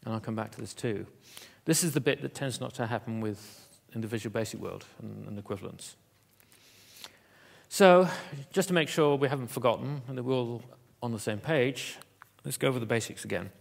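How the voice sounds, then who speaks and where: A man giving a conference keynote in an auditorium, talking in a halting way with many fillers and restarts. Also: medium pitch, vocal fry. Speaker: keynote speaker.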